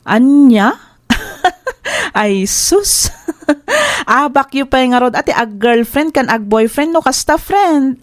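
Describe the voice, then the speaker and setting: A woman's voice: sits high, very animated, takes it slowly, dry recording, studio quality, full character voice. A Filipino woman acting in an audio drama as a supportive, teasing best friend who gives advice.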